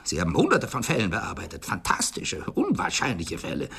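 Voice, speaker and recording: An actor in a German radio play voicing a capricious, agitated millionaire with a trembling voice that turns shrill, vehement and imperious. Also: male voice, huge pitch swings, fairly quick, fairly bright tone, full character voice. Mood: insistent. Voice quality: husky, creaky, trembling, turning shrill. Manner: vehement, repeats words, imperious. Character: capricious, agitated millionaire obsessed with a nightly dream.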